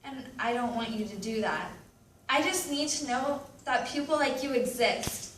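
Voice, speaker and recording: Woman performing an anguished, high-voiced teenager in a roomy space. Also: normal speed, fairly bright tone, close to an everyday voice. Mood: sad, confiding. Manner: dramatic, emphatic delivery. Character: anguished, anxious, self-loathing teenager writing confessional letters. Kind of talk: readers theatre monologue. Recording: roomy, distant mic with background noise.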